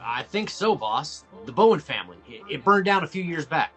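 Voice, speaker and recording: A man's voice: fairly high pitch, sing-song, a little fast, a bit bright, faint music behind. A man playing a mild, deferential police officer in a film script table read.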